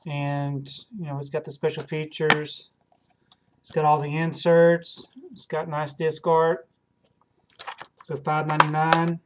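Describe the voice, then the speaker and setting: Thirties male home video host, hesitant collector, monotone delivery, desk webcam sound. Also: on the high side, slow, background noise. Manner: hesitant, many ums and repeated words. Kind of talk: casual haul video. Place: home room with shelves of films.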